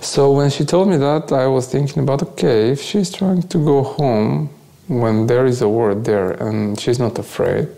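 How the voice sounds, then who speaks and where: A man's voice: medium pitch, normal speed, gravelly, dark tone, low-quality recording. A man with a Bulgarian accent, a non-native speaker searching for words as he recounts his experiences in a conversational interview. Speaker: interview guest, volunteer activist.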